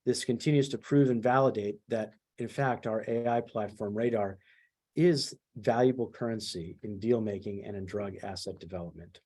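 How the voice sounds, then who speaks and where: Male company leader, lowish soft-edged dark voice, delivering prepared business updates on a conference call. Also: moderate pace. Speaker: company executive leading an earnings call.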